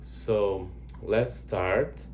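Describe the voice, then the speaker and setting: A Brazilian man with a Brazilian Portuguese accent, a native Portuguese speaker, introducing a travel language course as tutor and speaking slowly and deliberately, often repeating words. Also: on the low side, a little mellow.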